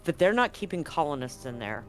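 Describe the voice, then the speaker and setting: A man's voice: fairly high pitch, very animated, fairly quick, a bit bright, soft background music. A man narrating as game master of a tabletop roleplaying game, casual, with fillers.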